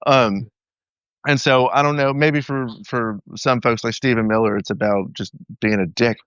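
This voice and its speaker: A man's voice: mid-range voice, steady tone, moderate pace, fairly bright tone, clear, resonant tone, no room sound, lo-fi sound. A man from the American South, a podcast guest, talking casually and wryly with lots of fillers, over remote call audio. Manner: loose, filler-heavy, wry. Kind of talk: casual political chat.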